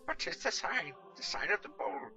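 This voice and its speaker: A man voicing a muddled, excitable, imperious old wizard with archaic, halting, muttering speech in a storybook reading. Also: high-pitched, soft background music, voice pushed up, exaggerated voice. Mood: excited, insistent. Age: older.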